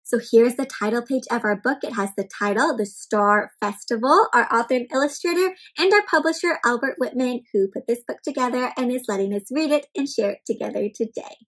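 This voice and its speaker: A woman's voice: a little high, brisk, few pauses, husky, rough recording. A young woman hosting an interactive read-aloud for small children, speaking expressively and often putting questions to her listeners. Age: young adult. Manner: animated, expressive, addresses children with frequent questions. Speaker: children's story-time host. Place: small indoor room at home with bookshelves and plants.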